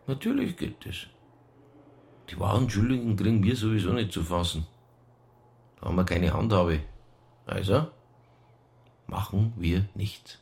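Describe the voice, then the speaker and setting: An actor playing a jaded middle-aged homicide detective in a radio play, laconic and deadpan. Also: male voice, fairly low pitch, unhurried, vocal fry, long pauses. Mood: unbothered. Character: fat, jaded, hard-drinking middle-aged male detective, stoically unbothered. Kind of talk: radio crime drama acting.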